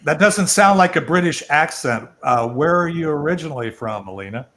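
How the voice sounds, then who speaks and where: A man's voice: on the high side, lively intonation, fairly quick, fairly bright tone. An older man hosting an online networking event, talking informally and rambling a little as he presents and takes questions. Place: online video call.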